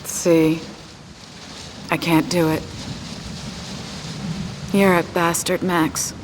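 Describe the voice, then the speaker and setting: A woman's voice: fairly low pitch, flat delivery, drawn-out words. An actress playing a tough, cool-headed femme fatale gunwoman in a video game, curt and commanding. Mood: bitter, torn. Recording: noisy game scene, rain ambience.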